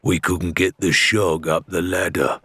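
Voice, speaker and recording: A man voicing a stolid, impassive pirate crewman, terse and deadpan in a low rumble, in an audiobook. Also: measured pace, husky, no room sound, heavily acted. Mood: deadpan, thoughtful. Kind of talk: audiobook character acting.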